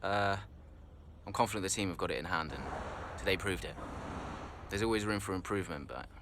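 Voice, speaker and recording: Guy acting a guarded young race driver in a game interview, deep-ish voice, faint noise. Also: brisk, close to an everyday voice. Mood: measured, hopeful. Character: guarded, frustrated young male racing driver. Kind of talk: video game cutscene acting.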